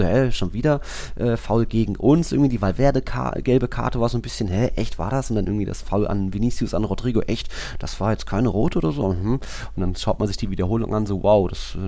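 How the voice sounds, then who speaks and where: A man's voice: lowish voice, dark tone. A young man chatting casually as a football podcast co-host, telling stories in a rambling, colloquial way.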